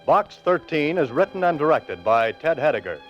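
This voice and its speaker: A male announcer in vintage 1940s radio style. His voice is slightly high, set over clear orchestral music on a dull, band-limited old recording. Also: sing-song, moderate pace.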